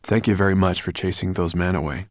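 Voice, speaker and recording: A man's voice: low voice, steady tone, fast, no room sound, studio quality, close to an everyday voice. A man voicing a shy, friendly boy in a storybook, polite and eager.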